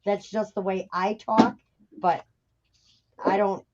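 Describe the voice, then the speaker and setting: A woman's voice: medium pitch, fairly even intonation, slow. A woman with a Chicago accent chatting casually on a craft stream, dragging out her words and laughing often. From Chicago, USA. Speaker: craft livestream guest. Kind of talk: casual chat. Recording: dull lo-fi stream audio with faint hiss.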